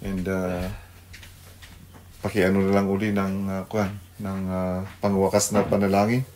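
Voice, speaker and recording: Mabagal magsalitang lalaking pastor, mababa ang boses. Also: male voice, low voice, monotone, darkish tone, slight background noise. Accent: Filipino. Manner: halting, searching for words. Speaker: pastor hosting a radio devotional program. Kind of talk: devotional talk mixing Tagalog and English.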